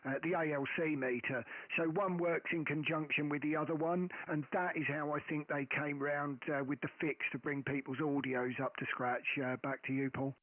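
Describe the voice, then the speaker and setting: A man with a loud, carrying voice chatting casually over amateur radio, rambling with many ums and restarts, speaking close into a boom mic. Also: fairly even intonation, normal speed, few pauses, dry recording. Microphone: boom mic close to the face. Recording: narrow phone-like radio audio.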